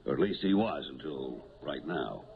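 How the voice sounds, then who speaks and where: Deep-voiced, genial police lieutenant. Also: male voice, animated, moderate pace, vocal fry, exaggerated voice. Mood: wry, matter-of-fact. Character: genial, shrewd police lieutenant recruiting a helper. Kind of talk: 1970s radio drama acting. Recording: tinny, hissy 1970s radio broadcast.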